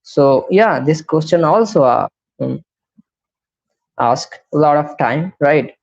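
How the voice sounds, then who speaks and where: A man's voice: on the high side, takes it slowly, creaky. A young man with an Indian English accent, teaching a beginner English lesson on a live stream and explaining each step, often asking "right?". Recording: slightly dull lo-fi live stream audio.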